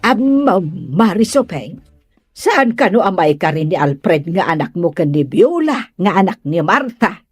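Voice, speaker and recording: A woman plays a busybody neighbor in a comedy radio drama. She passes on gossip in a goading way, with lively, swinging intonation and a dry, close sound. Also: fairly low pitch, unhurried, slightly rough, no room sound, soft background music, full character voice. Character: busybody neighbor woman relaying gossip between feuding mothers.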